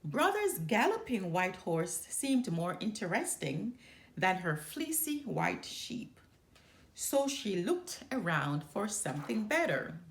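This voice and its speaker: A woman storyteller reading a children's picture book aloud in an expressive, deliberate way. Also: medium pitch, reverberant. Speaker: storyteller, channel host.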